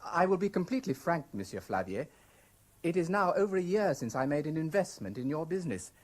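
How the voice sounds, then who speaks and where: An actor playing a polite, frank business partner in a 1970s radio drama, speaking formally and tactfully. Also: male voice, a little high, sing-song, brisk, darkish tone. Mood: tactful, reproachful.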